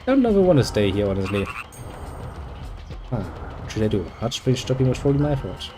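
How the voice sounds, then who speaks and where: A man's voice: medium pitch, sing-song, a little fast, over music. A man reading game dialogue aloud and adding dry, sarcastic jokes as he plays. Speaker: gameplay video host.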